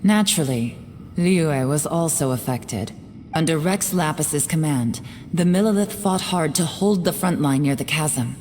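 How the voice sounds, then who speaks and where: A woman playing a secretive, composed young investigator in a video game, speaking in a measured, serious way. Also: low voice, a little mellow, slight background noise. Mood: solemn, grave. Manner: measured, serious, deliberate delivery. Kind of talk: dramatic video game voice acting. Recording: long reverberant hall sound. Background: faint background music.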